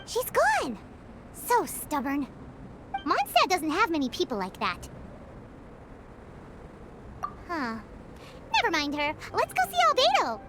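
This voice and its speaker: A woman voicing a tiny, childlike female fairy companion in a video game, pouty, excitable and blunt, with a squeaky voice. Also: a little slow, very noisy, exaggerated voice. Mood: miffed, then excited. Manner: refers to herself in third person, complaining. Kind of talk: English video game character dialogue. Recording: music behind the voice.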